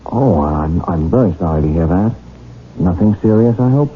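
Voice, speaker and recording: A low male voice with lively swings, an earnest, lovestruck English gentleman in a period radio play. He is courteous and romantic, telling his tale like a storyteller. The sound is thin and narrow, like an old broadcast, with music behind. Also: normal speed, slight background noise. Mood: eager, courteous. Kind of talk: period radio drama acting.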